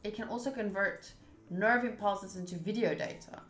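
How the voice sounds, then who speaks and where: A woman's voice: mid-range voice, moderate pace. A woman streaming a visual novel, reading the text aloud and adding casual asides to viewers. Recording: roomy echo, faint game music behind. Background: faint game music.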